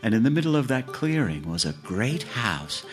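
Animated man telling a fairy tale. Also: mid-range voice, soft background music. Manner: dramatic, deliberate phrasing with pauses. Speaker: storyteller. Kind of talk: theatrical fairy tale storytelling.

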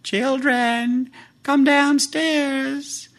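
Man putting on a high-pitched wicked granny witch. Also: steady tone, a bit bright, heavily acted. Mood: falsely sweet. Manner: drawn-out cooing, gloating. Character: wicked old granny witch, falsely sweet, hungry.

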